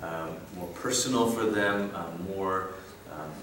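A man's voice: deep voice, takes it slowly, a bit bright, a little hiss or hum. A man from Malaysia in his thirties giving a personal testimony talk, conversational and full of fillers and restarts. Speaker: guest speaker. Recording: echoey hall from a distance.

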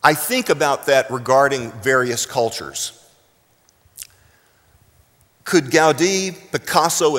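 A middle-aged American man from South Texas preaching a sermon deliberately, with dramatic emphasis and pauses. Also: on the high side, fairly bright tone. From South Texas, United States. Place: large reverberant room, speaking to a congregation. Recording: echoing hall with long reverb.

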